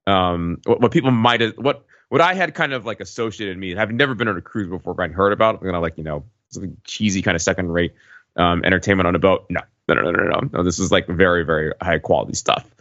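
A man on a podcast casually recounting an experience in a wordy, halting way, often correcting himself. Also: deep voice, fairly bright tone. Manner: wordy, halting delivery with frequent hedging and self-corrections. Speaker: podcast regular recounting a trip. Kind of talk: casual chat, rambling storytelling. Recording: dull lo-fi, roomy echo.

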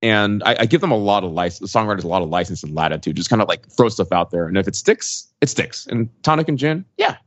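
A man's voice: on the low side, lively intonation, fast talker, few pauses, no room sound. A man in his forties chatting casually as a podcast panelist, giving his opinions in an informal, conversational way. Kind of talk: casual chat. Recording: dull lo-fi sound, very dry.

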